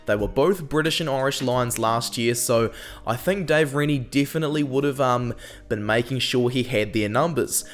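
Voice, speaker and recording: A man's voice: mid-range voice, moderate pace. A young man with a New Zealand accent, hosting a rugby analysis channel in a chatty, opinionated way, peppered with "um", while still getting over a cold. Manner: chatty, frequent "um" fillers, opinionated asides. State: recovering from a cold. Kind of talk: casual scripted commentary.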